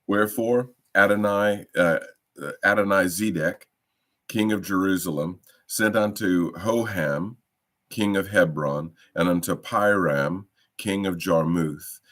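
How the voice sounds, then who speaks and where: A man leading an informal Bible study, a little croaky from a cough, hesitant with many ums and restarts. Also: low voice.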